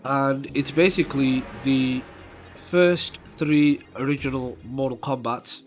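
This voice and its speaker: A man's voice: mid-range voice, steady tone, lo-fi sound. A man with a cold and a nasal voice, casually commenting on gameplay for his channel, with fillers. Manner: slow, rambling, many fillers. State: has a cold coming on. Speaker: gaming YouTuber. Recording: game music behind voice. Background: game music.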